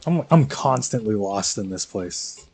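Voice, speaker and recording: A man's voice: on the low side, lively intonation, unhurried, gravelly, darkish tone, husky. A young man giving casual, slangy live commentary on a game as he talks to chat. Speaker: game livestreamer.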